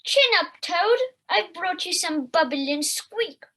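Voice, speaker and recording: A woman's voice: very high voice, expressive, takes it slowly, bright, forceful tone, heavily acted. A woman playing a cheeky, teasing female squirrel with a playful, needling manner in an amateur audio play. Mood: chirpy.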